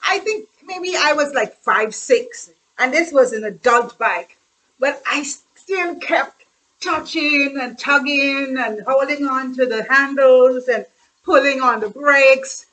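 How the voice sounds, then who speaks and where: Bright-voiced Guyanese woman reminiscing. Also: sits high, takes it slowly, poor audio. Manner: chatty, laughs while reminiscing, repeats phrases. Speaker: host. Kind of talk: casual storytelling monologue.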